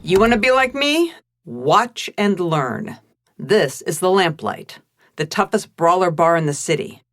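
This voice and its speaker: Hardboiled noir police commissioner. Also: female voice, lowish voice, big pitch swings, unhurried, a little hiss or hum. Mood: stern, commanding. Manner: terse, world-weary, commanding. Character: tough, hardboiled female police commissioner, seasoned ex-brawler. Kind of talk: noir scripted narration and drama.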